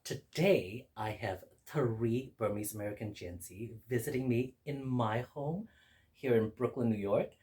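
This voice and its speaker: A man from Burma hosting guests at his home, casually explaining a festival with a lively storytelling manner. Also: medium pitch, unhurried. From Mandalay, Burma. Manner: lively storyteller, laughs while telling. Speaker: host. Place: home in Brooklyn.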